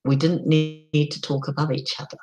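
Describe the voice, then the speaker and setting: A middle-aged woman with a croaky voice, hosting a casual solo live stream, chatty and unhurried with frequent ums. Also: low voice, little pitch movement, darkish tone, faint music behind.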